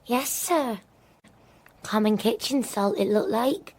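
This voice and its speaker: Young female maid role, radio play: timid, respectful, slightly anxious, lightly high-pitched, eager to please. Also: expressive, takes it slowly, a little mellow. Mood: anxious, deferential. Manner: deferential, anxious, simple. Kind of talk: radio drama acting.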